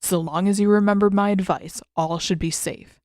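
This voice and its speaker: A woman voicing a calm, formal old male mentor who speaks in a measured, instructive way in an audiobook. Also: medium pitch, dry recording, studio quality, close to an everyday voice. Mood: calm, reassuring. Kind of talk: audiobook character acting.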